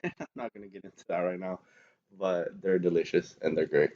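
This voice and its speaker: A man's voice: sits low, fairly even intonation, normal speed, mellow. A young man from Venezuela chatting as a podcast guest, rambling and hesitant. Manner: rambling, many fillers and restarts. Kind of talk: casual chat. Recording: dull lo-fi recording.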